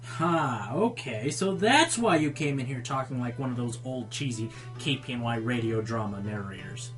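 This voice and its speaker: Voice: medium pitch, animated, normal speed, fairly bright tone, faint music behind, close to an everyday voice. An actor playing an exasperated pony radio show host in a comedic radio play, theatrical, incredulous and sarcastic. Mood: dry, teasing.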